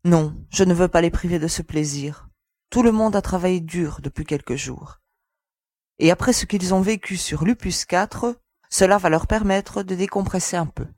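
Woman acting a cautious, low-voiced spacewoman. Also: moderate pace, darkish tone, dry recording, lightly acted. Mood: concerned. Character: cautious, protective young female spaceship crew member. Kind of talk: scripted French audio sci-fi drama.

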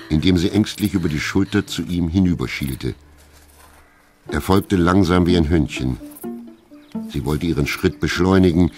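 A man narrating a classic novel in a German radio drama, with measured storytelling. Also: low-pitched, lightly acted.

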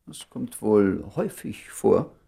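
An actor playing a concerned, frank doctor and old friend in a German radio drama, familiar and wryly probing. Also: male voice, lowish voice, sing-song, takes it slowly, gravelly, warm tone, husky, heavily acted. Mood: probing, hesitant.

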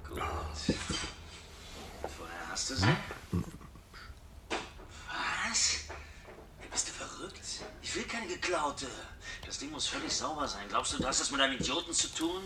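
An actor playing a blunt, short-tempered crook with a soft spot for his sweetheart, in a radio drama. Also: mid-range voice, sing-song, a little slow, long pauses, noisy background. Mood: angry, demanding. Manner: blunt, snappish.

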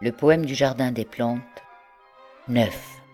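A woman reciting literary poetry in measured, deliberate phrases broken by pauses. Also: very deep voice, warm tone, faint music behind. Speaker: poetry reader.

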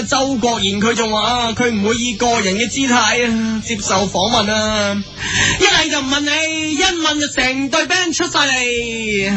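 An actor with a Hong Kong accent playing a brash, boastful young male band leader who hosts a comic radio show in an exclamatory, emphatic way. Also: extremely high pitch. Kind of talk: comic radio sketch presenting.

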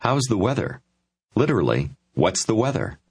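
A man with a deep, slightly husky voice narrates a language lesson. His delivery is lively and varied in pitch as he explains phrases and gives prompts. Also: moderate pace. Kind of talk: scripted instructional narration.

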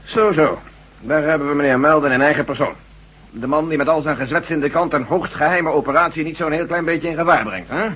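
An actor playing a gruff, jovial army general in a Dutch radio drama, talking in a bluff, hearty, colloquial way with a husky voice. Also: male voice, a little high, a little fast, a bit bright. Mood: mock stern. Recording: dull, muffled old radio recording.

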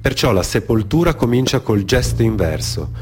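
A man performing a theatrical narrative monologue for radio, in a dramatic, deliberate manner with repetitions and weighted pauses. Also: medium pitch, big pitch swings. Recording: music behind the voice. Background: music.

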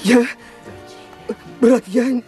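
Male, unstable kid brother in radio play; shrill high pitch, frantic stammer, faint music behind. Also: fairly even intonation, very slow, mellow, heavily acted. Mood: horrified remorse. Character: unstable, panicky younger brother threatening with a gun. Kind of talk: audio drama acting.

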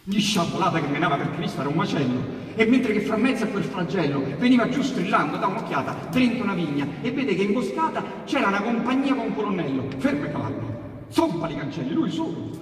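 Far-off echoey recording, roman guy acting out dialect poems, kinda high voice, emphatic. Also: sing-song. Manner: emphatic, colloquial, declamatory with dramatic pauses. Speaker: actor reciting dialect poetry. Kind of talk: dramatic theatrical storytelling of Romanesco verse. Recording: very echoey hall from far off, faint noise.

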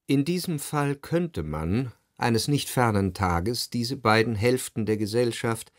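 A man narrating a literary radio play in the first person, measured and composed, in a dry studio. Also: medium pitch, dry recording. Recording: dry close studio sound.

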